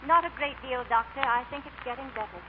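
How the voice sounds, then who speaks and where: An actress playing a gentle, anxious middle-aged mother, concerned and polite, in a 1940s radio drama. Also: high voice, quick pace, close to an everyday voice. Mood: polite, reassuring. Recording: tinny, hissy old radio broadcast.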